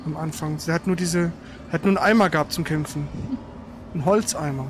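High-pitched man gaming, noisy background. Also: brisk. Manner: exclamatory, swearing, grumbling. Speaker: gaming streamer playing and commenting. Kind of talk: casual live gameplay commentary with a co-player.

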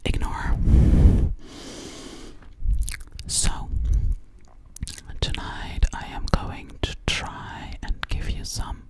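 A woman's voice: slow pace, natural voice. A young woman playing a sweet, slightly sassy alien girl in a soothing ASMR roleplay, whispering breathily close to the microphone and repeating her words. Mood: soft, coaxing. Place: small dim living room, indoors. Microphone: close studio microphone with foam cover, binaural.

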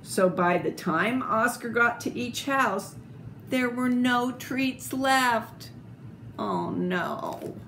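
Slow older woman reading a storybook, noisy recording. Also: fairly high pitch, fairly bright tone. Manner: slow, deliberate, theatrical phrasing. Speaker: storyteller reading picture books aloud. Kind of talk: expressive children's storybook read-aloud with asides. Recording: clearly noisy home video recording.

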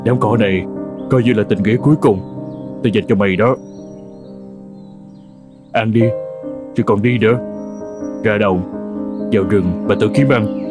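A man voicing a cold, grudging farmer in a cartoon folk tale, gruff and dismissive. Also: on the low side, normal speed, long pauses, natural voice. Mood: dismissive, impatient. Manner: gruff, dismissive, sighing reluctantly. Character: cold, grudging male farmer, master of an old horse. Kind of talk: animated folk tale dialogue. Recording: music under the voice.

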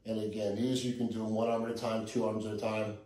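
A middle-aged man, a fitness coach, casually talking through a home workout in a relaxed, easygoing way, recorded by a camera a few meters away in a living room. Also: on the low side, fast talker, reverberant, slight background noise, low-quality recording.

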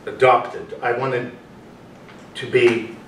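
A man's voice: medium pitch, flat delivery, fairly bright tone. Reclusive male artist, acted by a man, childlike, fragmented delivery, stage reading, recorded distantly with room echo. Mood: halting, childlike. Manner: short, halting, fragmented phrases. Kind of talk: staged play reading, theatrical acting. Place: small indoor performance room with audience. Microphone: far camera. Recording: echoey room, distant mic, faint noise.

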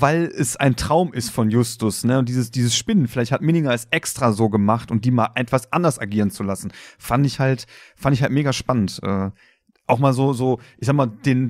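A man's voice: medium pitch, a little mellow, no room sound, studio quality. A man co-hosting a podcast in casual chat, feeling his way through his thoughts with tag questions. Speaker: podcast co-host.

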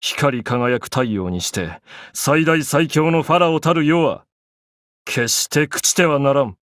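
An actor playing an arrogant, grandiose young pharaoh in an anime game, declaiming in an imperious, pompous way. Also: male voice, on the high side, lively intonation, unhurried, fairly bright tone, dry recording, studio quality. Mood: grand, haughty.

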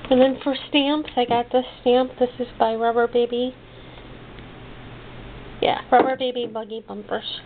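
A woman's voice: a little high, steady tone. Woman with muffled, phone-like sound, chatting casually. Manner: halting, trailing off and rephrasing. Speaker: hobby crafter showing purchases. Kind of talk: casual show-and-tell haul chat. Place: indoors at a desk. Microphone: handheld camera's built-in microphone. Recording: muffled phone-like sound, clicky background noise.

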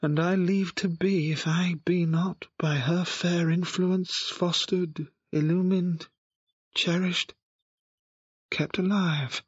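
An actor playing a passionate, lovesick young gentleman in a Shakespearean audio drama, declaiming in a plaintive, despairing way. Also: sits high, steady tone, slow pace, slightly rough, dry recording, highs cut off, full character voice. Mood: anguished.

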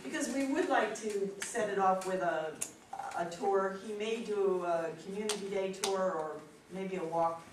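An older woman hosting an event, making informal announcements to an audience in a hesitant, halting way. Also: medium pitch, far from the mic. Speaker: event host introducing a speaker. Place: indoor meeting room. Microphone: distant camera microphone. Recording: echoey, noisy room recorded from afar.

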